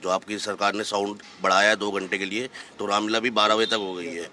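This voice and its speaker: Quick, low-voiced, bright-toned man. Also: little pitch movement. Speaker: interviewee. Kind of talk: spoken interview answers.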